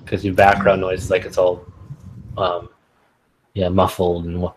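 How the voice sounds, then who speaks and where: A man's voice: deep voice, completely flat delivery, a little slow, a little hiss or hum, rough recording. A Canadian man in casual group chat on a video call, rambling with many fillers.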